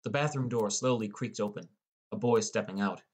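A man's voice: on the low side, moderate pace, husky. A man reading scripted first-person story narration as an audiobook narrator.

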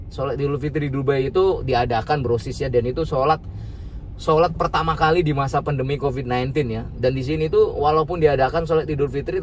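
Male vlogger, chatty, rambling, off-mic on a loud city street with traffic behind. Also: on the high side. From Indonesia. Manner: chatty, rambling, repeats tag questions. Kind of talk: casual walking vlog. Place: busy city street outdoors. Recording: distant outdoor street recording.

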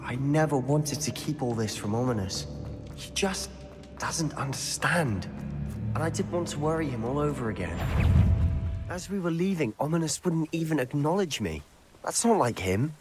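A man's voice: fairly high pitch, animated, moderate pace, music in the background, lightly acted. A man voicing a determined, loyal teenage wizard schoolboy with a British accent in a video game, earnest and well-spoken. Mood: troubled.

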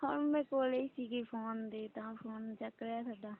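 High-voiced evasive young daughter-in-law on a phone acted by a woman. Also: fairly even intonation, moderate pace, lightly acted. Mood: placating, evasive. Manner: polite, placating, short hesitant replies. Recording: tinny narrow-band phone call.

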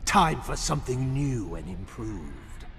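Slow, smug demon overlord. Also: male voice, mid-range voice, very animated, creaky, heavy background noise, exaggerated voice. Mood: commanding. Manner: pompous, gloating. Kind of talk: horror game villain acting.

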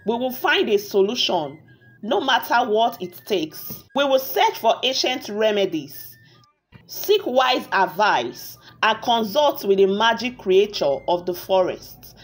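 A resolute villager in a folk tale, read by a woman storyteller. The delivery is solemn and earnest, with a varied pitch. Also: big pitch swings, a little slow. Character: resolute villager rallying the others. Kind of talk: amateur storybook character voice.

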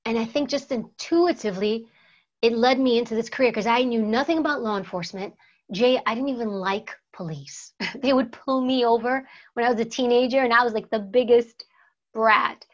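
New woman in her 30s, earnest interview guest, kinda high voice, a bit dull lo-fi audio. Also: normal speed. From United States. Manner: earnest, emphatic, repeats words for stress. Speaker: guest, former secret service agent. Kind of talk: conversational interview storytelling. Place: plain studio backdrop. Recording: slightly dull lo-fi sound.

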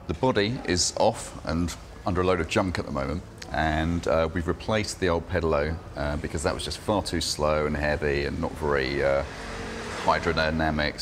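A man's voice: a bass voice, a little hiss or hum. A man in his forties casually walking through a build in his indoor workshop garage, explaining step by step with fillers. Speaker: maker presenting his build.

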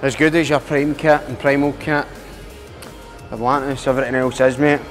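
A young man in his twenties trading swear-filled, excitable banter with a training partner in a large gym. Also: medium pitch, normal speed, soft background music. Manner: swearing, excitable and loud when spotting. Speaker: fitness vlogger.

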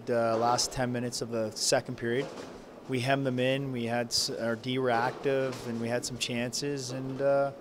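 A man in his forties, a head coach, answering post-game press questions in a halting, subdued way with many fillers. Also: medium pitch, noisy background. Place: press room backdrop. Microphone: handheld reporter mics held close.

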